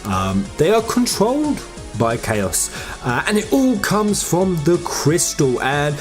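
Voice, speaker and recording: Animated pitch swings, emphatic fan theory host, informal and exclamatory, mid-range voice, music in the background. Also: takes it slowly. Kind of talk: excited fan theory commentary over game footage.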